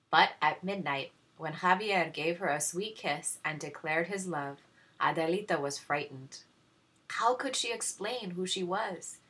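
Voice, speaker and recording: A woman reading a children's story aloud in expressive narration. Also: fairly low pitch. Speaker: story reader.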